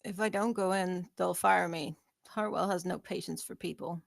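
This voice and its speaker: A woman's voice: lowish voice, fairly even intonation, normal speed, vocal fry. A woman playing a grieving, frightened, worn-out young woman with a gritty voice in a screenplay read. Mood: flat, worried.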